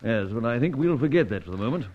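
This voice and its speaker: An actor playing Sir Giles, a fussy, blustering upper-class chief, in an old radio drama. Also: male voice, medium pitch, lively intonation, quick pace, natural voice. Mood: soothing. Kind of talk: 1950s radio drama acting. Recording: dull lo-fi old radio recording.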